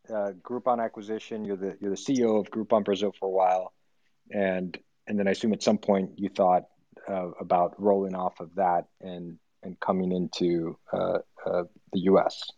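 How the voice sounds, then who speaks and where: A man's voice: low voice, little pitch movement, moderate pace, mellow, no room sound. A man hosting an interview, asking long, friendly questions with hesitations. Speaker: host, interviewer. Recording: dull lo-fi audio-room stream.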